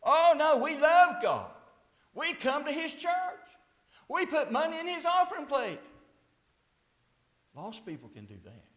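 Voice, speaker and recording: A man in his sixties preaching a fiery sermon at a church pulpit, swinging from hushed tones to shouts. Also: very high-pitched, very animated, a little slow, long pauses. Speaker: pastor. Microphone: lapel mic, far camera. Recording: echoey church sanctuary.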